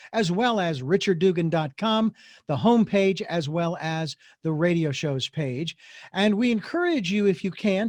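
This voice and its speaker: A middle-aged man hosts a radio interview program. His voice sits high for a man and is recorded dry, with no room sound. He talks earnestly and wanders through his sentences with plenty of fillers. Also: a little mellow, dry recording. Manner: earnest, meandering, with many fillers. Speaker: radio talk show host.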